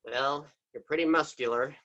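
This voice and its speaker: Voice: medium pitch, moderate pace, fairly bright tone, highs cut off, close to an everyday voice. A stage actor playing a defeated villain who gives up with dry, sarcastic quips. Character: sulky defeated villain, wisecracking. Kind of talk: stage play acting.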